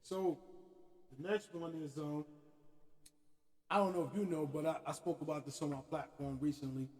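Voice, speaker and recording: High-voiced man ranting in an echoing hall. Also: normal speed. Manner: animated, profane, repeats "you know what I'm saying". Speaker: podcast host. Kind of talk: opinionated casual rant. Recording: hall-like reverb.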